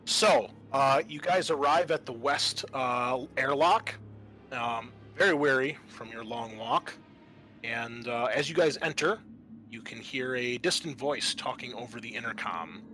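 A man narrates a tabletop roleplaying game as its game master. His voice is mid-pitched and bright, and he feels his way along haltingly. Faint noise sits behind him on the recording. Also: expressive. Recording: noticeable background noise.

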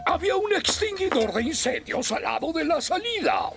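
Gravelly high-flung male voice, pompous older cartoon fire officer, Latin American Spanish, wildly animated. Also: moderate pace, heavily acted. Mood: scolding. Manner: pompous, scolding. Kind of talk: animated cartoon dub acting. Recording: loud music behind.

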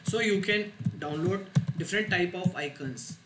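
A man with a slightly high voice instructs through a software tutorial in short, halting phrases. His phrasing is non-native. The recording is echoey, with clear noise and mouse clicks behind him. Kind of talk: step-by-step software screen tutorial. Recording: roomy echo, loud noise, clicks.

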